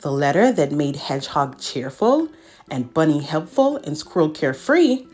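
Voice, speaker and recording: Animated woman reading a children's storybook. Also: sits low, normal speed, faint music behind. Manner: animated, addressing child listeners. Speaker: storyteller reading a picture book. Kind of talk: expressive children's read-aloud with asides.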